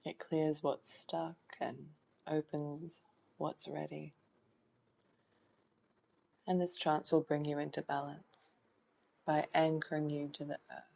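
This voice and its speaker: Low, very slow woman guiding meditation. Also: level tone, vocal fry, dark tone. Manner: slow, soothing delivery with long pauses and short phrases. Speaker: meditation guide. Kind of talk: guided meditation, hypnotic trance induction.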